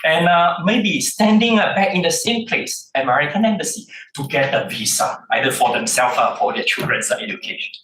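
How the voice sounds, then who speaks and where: A man with a Malaysian accent giving an academic panel talk, halting often on fillers and then pressing key words with measured emphasis. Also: on the high side, lively intonation, fairly bright tone. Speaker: panel speaker. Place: lecture hall or conference room. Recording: roomy hall, speaker distant from mic.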